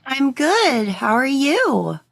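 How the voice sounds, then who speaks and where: A woman's voice: high-pitched, sing-song, slow, vocal fry, fairly bright tone. A woman hosting a podcast, chatting casually and interviewing guests. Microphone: video call.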